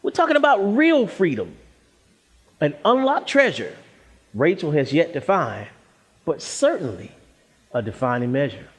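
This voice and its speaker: A performer narrating a stage play in deliberate, poetic spoken word over music. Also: medium pitch, very animated, husky. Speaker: narrator and singer. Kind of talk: slow spoken-word stage narration between sung lines.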